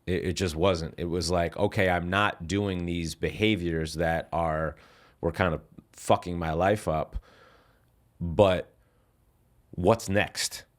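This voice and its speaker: A man's voice: low-pitched, fairly even intonation, slow. A man hosting a podcast interview, attentive and encouraging, with frequent short affirmations. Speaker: podcast host. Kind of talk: interview conversation.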